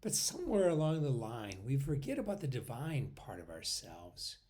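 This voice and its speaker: Guy leading a spiritual meditation, really slow, medium pitch that moves around a lot, pauses between bits. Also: very animated. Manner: unhurried, pausing between short phrases, often trailing off softly. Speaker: meditation guide.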